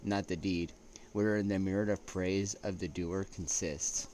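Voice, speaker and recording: A man with a low voice chats as a vlog host. His delivery is laid-back and halting, drifting between casual asides and read-out lines, with frequent little check-ins. Clear ambient noise runs behind him. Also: fairly even intonation, darkish tone. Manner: laid-back, halting, often checking in with "right?" and "you know". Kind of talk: rambling casual chat with read-out quotes. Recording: clearly noisy recording with ambient sound.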